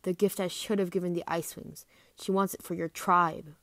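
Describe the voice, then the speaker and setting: A woman voicing a bitter, proud male dragon prince with a deliberate delivery. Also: on the low side, steady tone, a bit bright, no room sound.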